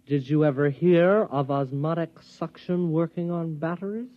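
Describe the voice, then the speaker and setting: High-pitched, stubborn, eager science enthusiast played by a man. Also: moderate pace, dry recording, close to an everyday voice. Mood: stubborn, earnest. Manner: earnest, excitable, insistent. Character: stubborn, over-eager male amateur scientist, naively zealous. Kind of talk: 1950s radio comedy-drama acting. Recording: dull, dry old radio recording.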